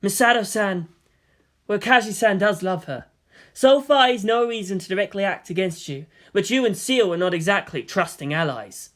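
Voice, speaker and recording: A man voicing a teenage boy, a commander's son in a story, pleading yet composed and softly defiant. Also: sits high, normal speed. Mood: quietly defiant.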